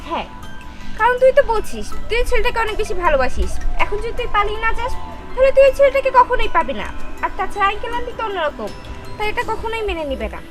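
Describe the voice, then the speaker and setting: A woman plays a supportive young best friend, urging and advising. She speaks fast in a very high, lively voice, in short-film drama style over music. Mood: urgent, advising. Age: young adult. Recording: loud music behind dialogue.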